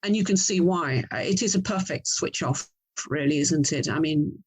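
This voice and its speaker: A woman's voice: low voice, normal speed, breathy edge, poor audio. Middle aged english lady, chatty and thoughtful, being interviewed about her work as a writer. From England. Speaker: writer and audio drama producer, interview guest. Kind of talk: casual interview talk.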